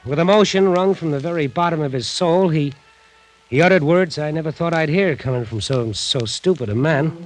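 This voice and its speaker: High-voiced, incredulous ship's mate played by a man. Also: normal speed, soft background music, lightly acted, poor audio. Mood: exasperated, wondering. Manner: incredulous, emphatic, dramatic. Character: excitable young ship's mate recounting a voyage. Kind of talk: old-time radio drama acting. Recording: 1940s radio recording.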